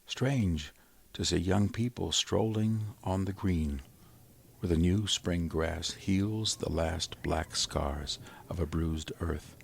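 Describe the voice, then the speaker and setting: Man playing a low, gravelly, solemn survivor. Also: full character voice. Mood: solemn, reflective. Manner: solemn, measured, literary. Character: sombre, educated male survivor recounting a Martian invasion. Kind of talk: old-time radio drama monologue.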